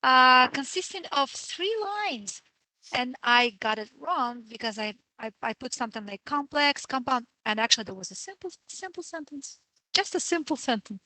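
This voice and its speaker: A woman with a somewhat high, bright voice asks questions on a video call. The sound is dry and close. She hesitates and searches for the right words. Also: lively intonation, normal speed, no room sound, low-quality recording. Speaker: course student. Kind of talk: asking questions in an online class.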